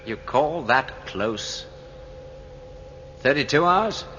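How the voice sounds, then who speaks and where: An actor playing a sceptical starship navigator, terse and wryly challenging, in a science-fiction radio drama. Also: male voice, medium pitch, lively intonation, drawn-out words, bright, forceful tone, dull audio, a little hiss or hum, full character voice. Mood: irritated, challenging.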